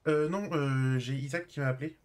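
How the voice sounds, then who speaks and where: A man's voice: medium pitch, steady tone, a little fast. A man streaming a role-play game, talking casually and in character with hesitant, stop-and-start phrasing.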